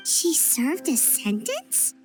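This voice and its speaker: Tiny chirpy companion, extremely high voice. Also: female voice, huge pitch swings, takes it slowly, music in the background, exaggerated voice, poor audio. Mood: curious. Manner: eager, blurts questions, third-person speech. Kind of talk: game voice acting.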